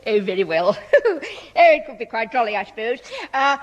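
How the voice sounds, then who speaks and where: A genteel, prim schoolmistress with a high voice and lilting, animated delivery. She is jolly yet faintly bemused, in an old radio sitcom with some hall reverb. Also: brisk, muffled recording. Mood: politely bemused. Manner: genteel, precise. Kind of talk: 1960s radio sitcom acting.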